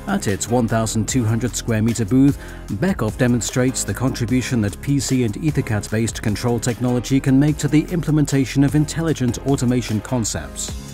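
Man doing smooth scripted trade show narration, mellow voice, faint music behind. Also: mid-range voice. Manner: even, polished promotional delivery. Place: studio voice-over.

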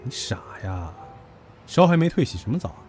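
An actor in an audio drama playing a brash, possessive younger businessman, a blunt, cocky and teasing lover who bickers gruffly. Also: medium pitch, sing-song, normal speed, a little mellow, faint music behind, lightly acted. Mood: scolding, gruff.

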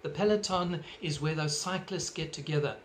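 South african pastor guy preaching into his webcam at home, earnest, slightly high voice, faint room hiss. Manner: earnest preaching with emphatic hand gestures, measured phrasing. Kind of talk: sermon. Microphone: webcam at desk distance.

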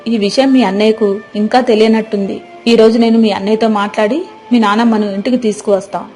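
A woman's voice: a little high, fairly even intonation, fairly quick, warm tone, soft background music, natural voice. A woman voicing a kind, concerned young daughter-in-law in a moral story. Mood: saddened, resolute. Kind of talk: scripted moral-story dialogue.

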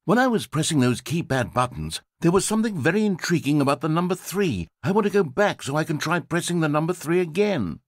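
A man's voice: fairly high pitch, big pitch swings, fairly quick, few pauses, dry recording, voice pushed up. A man voicing an eager, childlike office worker who gushes and pleads, recorded as game dialogue in a studio. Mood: excited pleading. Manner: gushing, pleading, overexcited.

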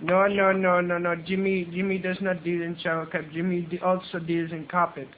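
Guy doing a high squeaky foreign carpet seller, broken english, keeps going no no no, rude hard sell, tinny phone. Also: fairly even intonation, a little hiss or hum. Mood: pushy. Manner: insistent, rude, fast-talking hard sell. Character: pushy foreign male street trader, brash.

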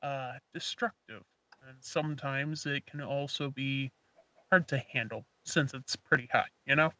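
A woman's voice: a bass voice, steady tone, measured pace, bright, forceful tone, no room sound, full character voice. A woman playing an easygoing, offhand dragon slayer mentor in a game roleplay, musing casually. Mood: casual, agreeable. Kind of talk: amateur roleplay acting in a game video.

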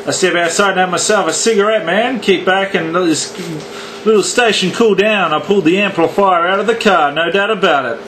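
An Australian man operates a CB radio in a notably high voice. He talks in on-air slang and showy, repeated sign-offs. A light bed of radio static and noise sits around him. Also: a little fast, a bit bright, poor audio. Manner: repetitive, showy catchphrases. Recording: static-laced radio ambience, background noise.

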